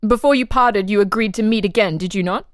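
An insistent examining official played by a woman puts methodical, pressing questions to a murder prisoner. The delivery is a dramatic reading at a middle pitch, recorded very dry. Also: a little fast, no room sound, natural voice. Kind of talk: dramatic reading of an interrogation transcript.

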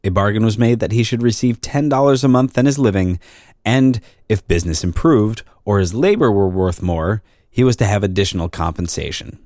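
A man's voice: fairly low pitch, a little fast, a little mellow, dry recording, studio quality. A man narrating a historical crime account as a scripted dramatic reading.

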